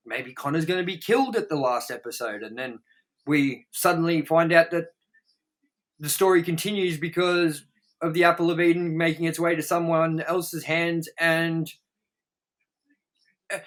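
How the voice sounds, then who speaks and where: A man chatting casually as a review show co-host, rambling emphatically and swearing freely as he pitches ideas. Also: fairly even intonation, moderate pace, a bit bright.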